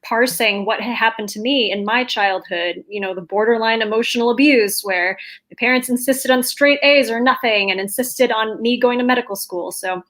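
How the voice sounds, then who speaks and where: A woman's voice: fairly high pitch, moderate pace, a bit bright. A woman speaks candidly and thoughtfully as an author panelist on a video call. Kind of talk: casual panel discussion.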